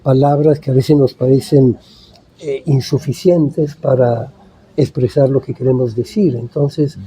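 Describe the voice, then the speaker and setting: A man in his seventies, a native Italian speaker, giving thoughtful, measured interview answers with frequent pauses. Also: mid-range voice, fairly even intonation, dark tone, rough recording. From Italy. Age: 70s. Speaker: guest writer.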